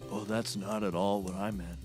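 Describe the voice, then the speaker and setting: An earnest male paramedic, played by an actor, with deadpan, careful concern in a comedy audio drama. His voice is a bit low, with faint music behind it. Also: normal speed, lightly acted. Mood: concerned, puzzled. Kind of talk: scripted comedy audio acting.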